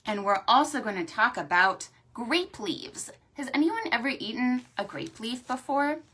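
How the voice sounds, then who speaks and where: A woman hosting a storytime for preschoolers, talking to small children in an animated, sing-song way. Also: a little high, normal speed, slightly rough. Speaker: children's librarian and storytime host. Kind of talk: preschool storytime with stretches and songs.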